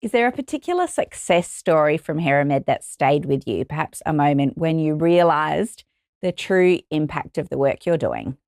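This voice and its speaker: A woman hosts the podcast in a low, gravelly voice, recorded dry and close. She asks her questions plainly and keeps the guest going with short affirmations. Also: lively intonation, moderate pace, a little mellow, no echo. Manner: brief encouraging backchannels. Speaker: podcast host. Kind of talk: interview questions.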